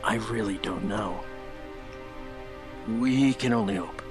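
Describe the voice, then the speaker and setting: A man playing a somber, guarded adult hedgehog with a grave, measured delivery in a fan fiction audio drama. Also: lowish voice, music in the background, voice pushed down. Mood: subdued.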